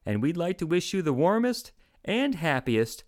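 A man's voice: fairly high pitch, sing-song, moderate pace. A man voicing a cheery old-time radio announcer, formal, polished and cordial. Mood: warm, formal.

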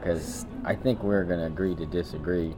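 A man's voice: low-pitched, steady tone, normal speed, over music. A man co-hosting a podcast in casual chat, matter-of-fact and opinionated. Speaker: podcast co-host.

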